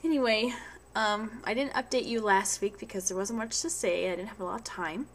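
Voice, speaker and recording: A woman's voice: mid-range voice, a little hiss or hum. Stuffy-voiced young woman with a cold. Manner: chatty, rambling, many ums and restarts. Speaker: vlogger. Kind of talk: casual video diary. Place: at home.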